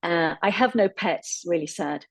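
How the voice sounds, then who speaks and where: A woman's voice: lowish voice, a little slow, no room sound. A woman from Cornwall with an English accent, a netball coach, introducing herself casually and self-deprecatingly on a video call. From England, Cornwall.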